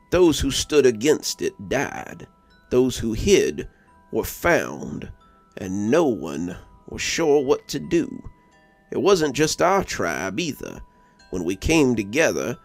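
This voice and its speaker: A man's voice: mid-range voice, no echo, heavily acted. A man voicing a solemn, stoic local who recounts his tribe's old legend in a horror story. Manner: grave, stoic, deliberate. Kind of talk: storybook dialogue in horror narration.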